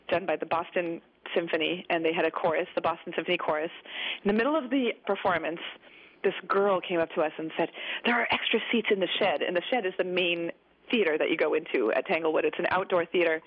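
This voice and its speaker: A woman guest on a radio interview, rattling off stories at a brisk clip. Her manner is chatty and animated, and she laughs easily. The broadcast sound is a little dull and muffled. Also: lowish voice. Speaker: guest opera singer. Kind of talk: radio interview, casual storytelling.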